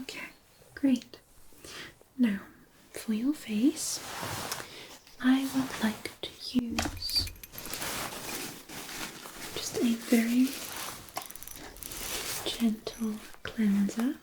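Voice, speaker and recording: A woman playing a gentle, caring fairy healer in a fantasy ASMR roleplay, whispering softly and soothingly. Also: on the high side, noisy background. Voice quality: soft, breathy whisper. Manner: soothing, unhurried, attentive.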